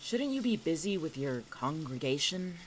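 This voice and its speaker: Very deep female voice, acted, volatile swings, bitter young student, curt, sarcastic, hot-tempered. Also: sing-song, moderate pace, slight background noise. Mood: irritated. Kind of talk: audio drama acting.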